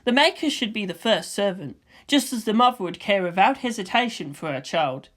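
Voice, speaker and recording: A woman voicing a calm, philosophical boy with firm conviction, speaking in measured, oratorical phrases for a fan fiction reading. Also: medium pitch. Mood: solemn. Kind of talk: fan fiction audiobook character voice.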